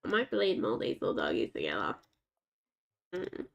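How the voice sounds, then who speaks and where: A woman's voice: extremely low pitch, wildly swooping pitch, moderate pace, gravelly, highs cut off. Scratchy-voiced woman streamer, chatty. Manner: rambling, chatty, talks to herself and the game's dogs. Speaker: game streamer. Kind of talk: casual gameplay commentary.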